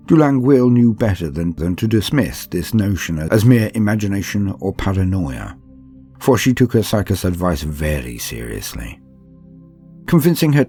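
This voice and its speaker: A man reading scripted narration like an audiobook, in a calm, steady, measured manner. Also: low-pitched. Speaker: narrator reading a campaign book. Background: faint background music.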